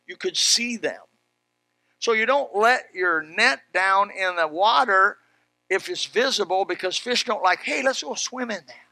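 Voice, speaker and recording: A man preaching an animated sermon in a folksy, emphatic manner, exclaiming and repeating phrases as he tells stories. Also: high-pitched, unhurried, gravelly, no echo. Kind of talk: animated Bible sermon with personal anecdotes.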